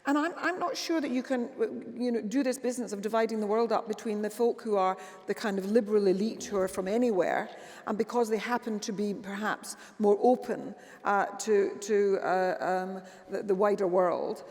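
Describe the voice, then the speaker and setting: A woman's voice: mid-range voice, normal speed, a little mellow, big-room sound. A woman chairing a live panel debate, often stumbling and repeating words as she starts a thought. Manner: hesitant, repeats words before getting going. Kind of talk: moderating a live panel discussion.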